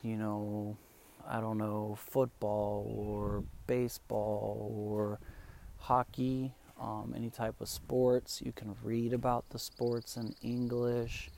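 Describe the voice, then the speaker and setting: A man whose first language is Spanish, coaching English learners in a calm, relaxed way with many fillers. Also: fairly low pitch, slow, vocal fry. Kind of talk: relaxed teaching monologue.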